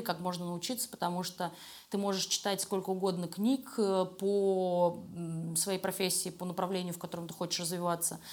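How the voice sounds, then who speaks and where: A middle-aged woman answering interview questions conversationally as a guest, candid and self-deprecating. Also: on the low side. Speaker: interview guest, animation studio creative director.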